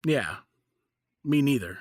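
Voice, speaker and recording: A man playing a steady, protective father in a naturalistic audio drama, reassuring and emphatic. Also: medium pitch, slow pace, breathy edge, no room sound, clean studio sound. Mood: concerned.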